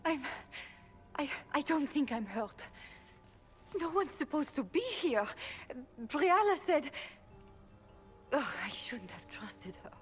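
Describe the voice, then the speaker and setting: A woman's voice: sits high, big pitch swings, slow, pauses often, soft background music, heavily acted. A woman playing a wary, bitter elven servant, guarded and resentful, in a fantasy video game. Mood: shaken.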